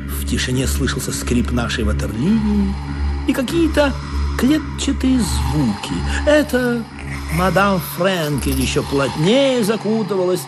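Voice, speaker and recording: A man narrating a comic radio play in an expressive, playfully dramatic storytelling manner. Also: high-pitched, unhurried, over music.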